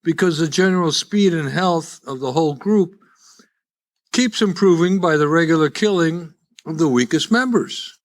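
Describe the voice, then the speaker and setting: A man's voice: a little high, normal speed. A man in his seventies with a Chicago accent, giving live personal testimony in a wry, folksy, conversational storytelling way. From Chicago, USA. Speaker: guest speaker at a recovery meeting. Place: live in-person meeting before an audience.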